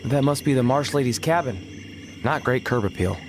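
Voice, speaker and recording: An actor playing a sarcastic, reluctant wrestler hero in a video game, talking casually with uneasy wisecracks. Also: male voice, medium pitch, lively intonation, moderate pace, slight background noise, close to an everyday voice. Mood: wry, worried.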